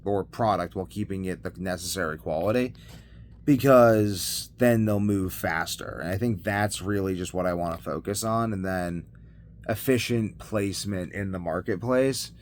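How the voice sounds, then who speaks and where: Low-voiced man rambling haltingly. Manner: halting, trailing off mid-thought. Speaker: video blogger. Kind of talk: rambling casual monologue to camera. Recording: noticeable background noise.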